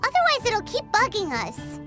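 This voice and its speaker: A little fairy sidekick girl from a game, super high squeaky voice, blunt, slowish, loud music behind. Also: huge pitch swings, heavily acted. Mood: puzzled, blunt. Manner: squeaky, refers to herself in third person. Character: tiny childlike female fairy companion, excitable and blunt. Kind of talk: video game character dialogue. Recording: prominent background music under the voice.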